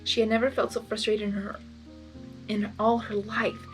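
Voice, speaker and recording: A woman giving a lively, expressive first-time reading of a fan story. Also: medium pitch, moderate pace, faint music behind, rough recording. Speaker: storybook reader.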